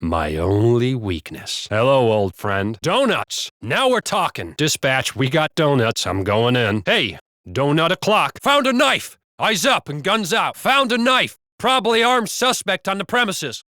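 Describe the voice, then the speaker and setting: A gruff American patrol cop for a video game. He is blunt and foul-mouthed, talking like a street officer, recorded very dry. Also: on the high side, very animated, a little slow, bright, forceful tone, dry recording, heavily acted. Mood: craving, delighted. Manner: blunt, profane, colloquial. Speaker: police officer character.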